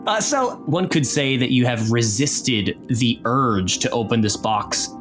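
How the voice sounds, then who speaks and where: Male game master, lively swinging intonation, casual storytelling over clearly audible music. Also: medium pitch, a little slow. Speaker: game master and narrator of an actual play podcast. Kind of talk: casual tabletop game narration.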